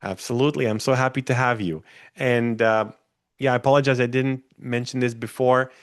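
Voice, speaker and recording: A man hosting an interview, warmly introducing his guest and inviting him to tell his story, with occasional fillers. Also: mid-range voice, fairly even intonation, brisk, clear, resonant tone, no echo, studio quality. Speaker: podcast host.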